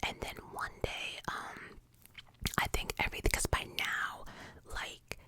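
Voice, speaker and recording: A woman whispers the whole way through, breathy and hushed. She tells her story in casual, blunt talk, swearing freely and filling gaps with "like" and "you know.". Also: a little slow, dry recording. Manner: casual and profane, many fillers.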